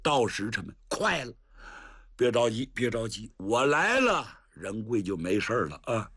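A male storyteller playing a hot-hearted old general who talks loud and fast. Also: medium pitch, big pitch swings, slightly rough, pitched below the performer's own voice, exaggerated voice. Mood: anxious.

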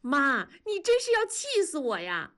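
A woman's voice: fairly high pitch, big pitch swings, a little slow, slightly rough, full character voice. A woman voicing an upset wife in her late thirties, pleading and exasperated. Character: upset woman near forty, distraught.

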